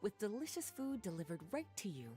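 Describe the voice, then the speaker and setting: An actress voicing a relaxed, gently teasing adult librarian in a video game, speaking in an unhurried, languid way. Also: female voice, mid-range voice, faint music behind, close to an everyday voice.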